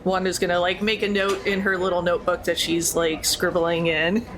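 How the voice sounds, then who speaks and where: A woman's voice: lowish voice, steady tone, moderate pace, noisy background. A woman playing a tabletop roleplaying game, asking questions in character.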